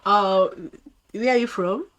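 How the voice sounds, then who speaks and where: A young Nigerian woman with a Nigerian accent, chatting with strangers on a video app and reacting on her phone, loud and laughing. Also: mid-range voice, slow. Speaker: vlogger. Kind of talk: casual video chat commentary.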